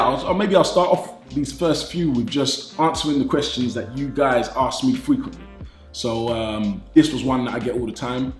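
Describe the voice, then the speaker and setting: A man's voice: medium pitch, reverberant. Nasal British man chatting to viewers. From Britain. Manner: enthusiastic, repeats phrases, says "man" often. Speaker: fitness YouTuber. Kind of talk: casual talk to viewers.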